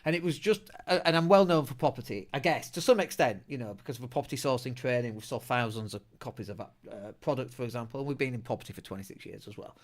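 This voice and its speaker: A man's voice: medium pitch, fast talker, hardly any pauses. A middle-aged man with a Leeds accent hosting a solo podcast in a chatty, blunt way, with self-mocking humour. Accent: Northern English, Leeds. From England. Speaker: podcast host. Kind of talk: casual solo podcast talk.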